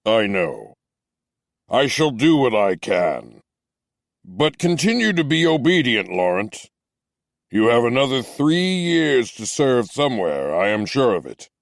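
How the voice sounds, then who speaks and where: A man reading an audiobook voices a stern but kindly captain who speaks slowly and gently admonishes. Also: mid-range voice, wildly swooping pitch, creaky, dry recording, studio quality, pitched above the performer's own voice, heavily acted. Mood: measured, stern. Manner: slow, measured, gently admonishing. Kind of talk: audiobook character voice.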